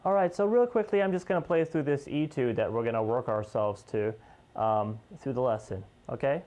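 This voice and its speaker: A man teaching guitar in an informal, conversational way, explaining step by step and pausing as he points out each note. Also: medium pitch, a little mellow, slight background noise. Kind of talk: informal instructional lesson, demonstrating step by step.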